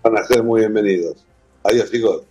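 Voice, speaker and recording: Low husky Argentine man. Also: moderate pace, soft background music, rough recording. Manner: warm, chatty, explains with lists and repetition. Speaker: guest, whisky museum owner. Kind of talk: casual interview talk.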